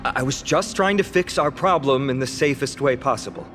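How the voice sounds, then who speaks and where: An actor playing an earnest, polite young hero in a game cutscene. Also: male voice, medium pitch, a little fast, a bit bright, faint music behind, lightly acted.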